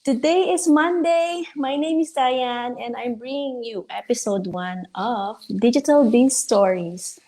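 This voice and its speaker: Woman, higher-pitched voice, interview host, encouraging, admiring, livestream delivery. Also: unhurried, hardly any pauses, warm tone.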